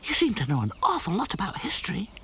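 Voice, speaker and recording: A man voicing a timid, gentle girl rag doll who speaks softly and anxiously. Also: sits high, wildly swooping pitch, fast talker, gravelly, slight background noise, voice pushed up, full character voice. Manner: soft and anxious.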